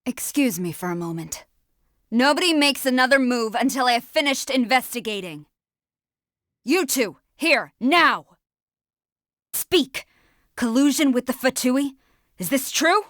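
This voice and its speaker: A woman's voice: sits high, expressive, measured pace, pauses often, a bit bright, no echo. Stern female military commander, dry sound. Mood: stern, angry. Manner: commanding, formal, clipped. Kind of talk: scripted video game voice acting.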